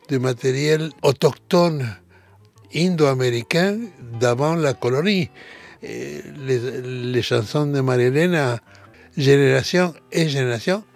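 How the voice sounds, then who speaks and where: A male musician from Argentina with a gravelly voice. He speaks slowly and hesitantly, pausing to find his words. Faint music sits behind him. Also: mid-range voice, a little mellow. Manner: slow, hesitant, searching for words. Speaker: guest musician. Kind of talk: interview answers.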